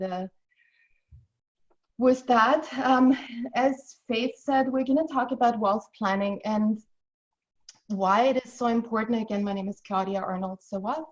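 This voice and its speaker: A woman's voice: medium pitch, darkish tone, muffled recording. A woman in her forties from Austria, giving an earnest, encouraging financial talk as a guest on a video call. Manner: earnest, encouraging, measured explaining. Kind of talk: webinar presentation.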